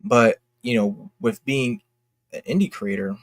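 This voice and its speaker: A young man talking casually and candidly to his fans in a halting, reflective, earnest way, as an independent creator. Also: medium pitch, expressive, dry recording. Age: young adult. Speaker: indie comic creator and vlogger.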